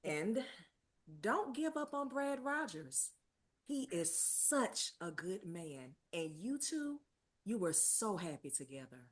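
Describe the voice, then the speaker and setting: A woman's voice: mid-range voice, very animated, slow pace. A woman playing a kindly, encouraging older aunt in a screenplay table read.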